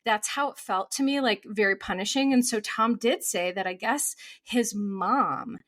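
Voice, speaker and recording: A woman in her early forties, a therapist hosting her own podcast, talking through a topic in animated, conversational commentary with frequent fillers and restarts, a little congested from a cold. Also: medium pitch, a little slow. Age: 40s.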